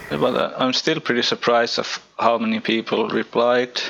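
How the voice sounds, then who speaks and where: A man's voice: mid-range voice, steady tone, moderate pace, creaky, fairly bright tone, muffled recording, slight background noise. A man hosting a podcast, chatting casually in a laid-back way and laughing between his words. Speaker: podcast host. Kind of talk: casual chat.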